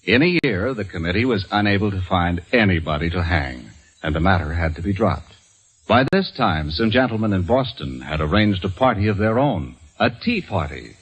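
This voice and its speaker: A man's voice: sits low, lively intonation, normal speed, slightly rough, poor audio. A man giving dramatic, declamatory scripted narration in the style of old-time radio. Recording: vintage radio recording with loud music.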